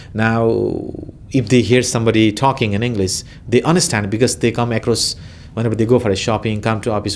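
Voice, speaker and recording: Nepali guy in his 30s, kinda low voice, slow halting interview answers, faint rumble behind. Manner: hesitant, many fillers and restarts.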